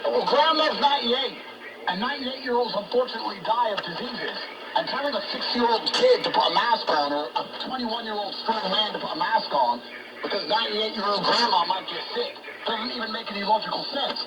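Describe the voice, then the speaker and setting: A man's voice: sits high, fairly quick, dull audio. A man talking politics as a guest on a talk show, in an emphatic, ranting manner, heard over shortwave radio. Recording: noisy shortwave radio broadcast, distant.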